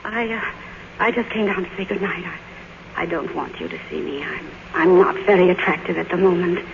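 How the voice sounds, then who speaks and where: Through a dull, noisy old radio recording comes an aging movie queen. She is grand yet fragile and possessive, speaking in halting, theatrical phrases as in vintage radio drama. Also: fairly low pitch, steady tone, vocal fry. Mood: anxious, coy. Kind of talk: 1950s radio drama acting.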